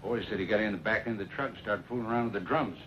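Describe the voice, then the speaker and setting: An actor as a low-voiced smug smuggler's henchman. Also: rapid-fire, natural voice. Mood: cool, offhand. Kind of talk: old western radio drama acting. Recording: old muffled recording with clear noise.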